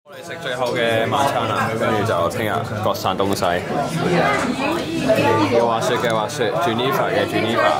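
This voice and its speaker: A young man with a Hong Kong accent, chatting casually as a vlogger. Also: mid-range voice. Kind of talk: casual vlog chat. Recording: loud music and crowd chatter behind.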